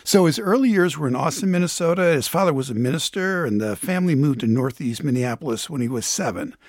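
Animated, husky American man reporting. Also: a little high, fast, no echo. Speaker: radio contributor and reporter. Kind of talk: conversational radio reporting, storytelling.

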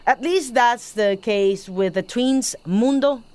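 High-voiced wry soap narrator. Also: female voice, slow pace, darkish tone, clear, resonant tone. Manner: wry, conversational storytelling. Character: wry female telenovela narrator, commenting and judging.

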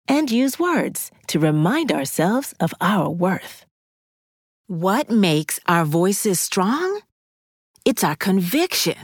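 A woman hosting a podcast, gently guiding breathing and affirmations with slow, encouraging calm. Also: medium pitch, no echo, clean studio sound. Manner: slow, soothing, encouraging guidance. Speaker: podcast host. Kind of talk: guided breathing and affirmations for kids. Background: music.

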